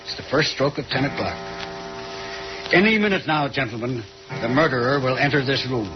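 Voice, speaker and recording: An actor playing a confident, condescending detective who lectures and teases in an old-time radio mystery. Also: male voice, mid-range voice, fairly quick, music in the background, natural voice. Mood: tense, commanding. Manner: dramatic, lecturing, teasing. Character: confident, theatrical male detective, shrewd and condescending. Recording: muffled, noisy old radio recording.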